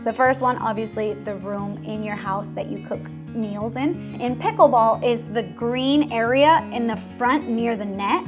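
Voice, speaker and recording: Woman explaining English phrases in voice-over. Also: a little high. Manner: clear, explanatory. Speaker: English teacher and host. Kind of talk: voice-over lesson.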